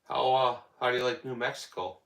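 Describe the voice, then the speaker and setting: A man's voice: mid-range voice, level tone, fairly quick, vocal fry, fairly bright tone, reverberant. A man hosting a casual livestream, rambling and answering viewers' comments as they come in. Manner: rambling, talks back to chat comments. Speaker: livestream host.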